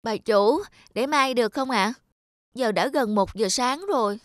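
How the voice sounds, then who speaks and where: A woman's voice: a little high, unhurried, no room sound, clean studio sound, natural voice. A woman voicing a polite, earnest, hardworking poor servant boy in a children's cartoon. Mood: weary, obedient. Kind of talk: dubbed children's cartoon dialogue. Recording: loud music behind the voice.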